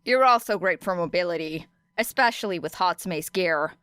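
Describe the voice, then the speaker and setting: A woman's voice: on the low side, moderate pace, pushed and bright, clean tone, dry recording. A woman voicing a scrappy, determined teenage girl in an audiobook, casual and blunt in manner. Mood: confident.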